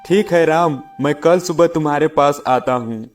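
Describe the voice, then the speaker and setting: Man voicing a scheming, jealous cartoon farmer, higher-pitched. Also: moderate pace, faint music behind, lightly acted. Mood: downcast. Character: greedy, jealous male farmer, scheming and pleading. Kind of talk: acted children's moral story.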